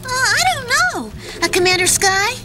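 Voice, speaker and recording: A woman voicing a curious, excitable young girl puppet in a children's TV show. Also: very high voice, moderate pace, music in the background. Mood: eager.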